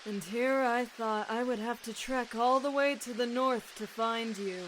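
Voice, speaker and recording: An actress plays a menacing woman villain with a fairly high voice, drawing her taunts out very slowly. She sounds smug and mocking, with rain and hiss clearly behind her in this audio drama. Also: fairly even intonation, close to an everyday voice. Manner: taunting, unhurried.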